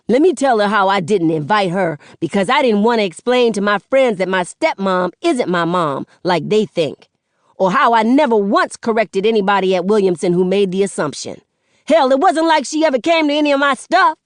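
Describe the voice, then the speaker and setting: A woman voicing an angry young man shouting. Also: medium pitch, lively intonation, no echo, clean studio sound, lightly acted. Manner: rapid, shouting, swearing.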